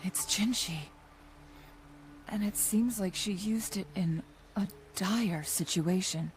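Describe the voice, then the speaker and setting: A woman voicing a composed, knowledgeable young guide in a fantasy video game, speaking in a measured, formal, thoughtful way. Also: medium pitch, slight background noise, lightly acted. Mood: calm, resolute. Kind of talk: scripted video game voice acting.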